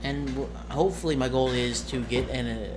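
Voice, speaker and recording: Man rambling casually, clearly noisy recording. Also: mid-range voice. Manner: halting, rambling, self-correcting. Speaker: streamer and tabletop game master. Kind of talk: casual rambling vlog to viewers.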